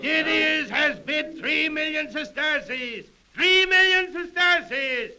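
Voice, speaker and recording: An actor playing a grandiose, goading Roman Praetorian officer running an auction in declamatory 1930s radio drama style. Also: male voice, extremely high pitch, slow, sharp tone, faint music behind, full character voice. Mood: prodding. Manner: declamatory, theatrical oratory. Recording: old 1930s radio recording.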